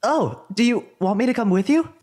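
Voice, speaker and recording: A nervous, earnest teenage boy, put on by a man, speaks in a raised, lively voice. He is polite and hesitant and often stammers. The story is told as a fan audio drama. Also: extremely high pitch, brisk, voice pushed up.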